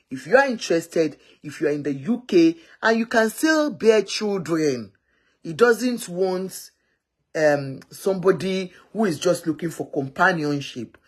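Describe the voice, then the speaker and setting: A woman's voice: low-pitched, breathy edge. A woman hosting a casual live matchmaking stream, rambling and often correcting herself.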